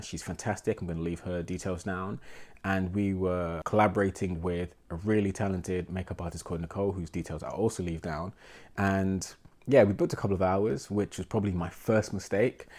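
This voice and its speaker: Low-voiced London man with a cold. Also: moderate pace. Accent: London English. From England. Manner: relaxed, rambling, self-deprecating. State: congested with a cold. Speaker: portrait photographer and YouTuber. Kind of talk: casual talking-to-camera vlog. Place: small home room with desk and shelves.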